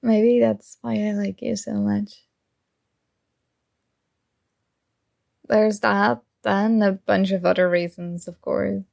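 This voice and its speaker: A woman's voice: medium pitch, flat delivery, measured pace, vocal fry, darkish tone, dry recording, studio quality. A woman acting a young roommate who is shy, teasing and smitten with a friend, in a playful, conversational ASMR roleplay. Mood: shy, fond.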